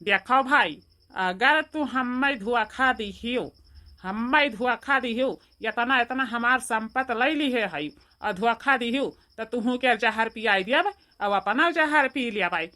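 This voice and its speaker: A woman's voice: a little high, slow, bright, forceful tone. A woman voicing an angry, threatening village man in a cartoon, with an Awadhi accent and a hectoring, repetitive delivery. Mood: menacing. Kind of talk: amateur cartoon voice acting.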